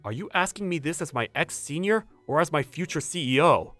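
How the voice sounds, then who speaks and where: Man playing animated, polite young junior employee. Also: fairly high pitch, a little fast, faint music behind. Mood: flustered, wary. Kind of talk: manga dub dialogue and first-person narration.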